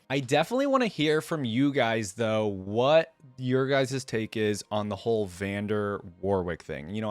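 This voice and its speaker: A man's voice: medium pitch, no room sound. A man hosting a reaction video, talking casually to viewers and loosely thinking aloud in a conversational way. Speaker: host of reaction video channel.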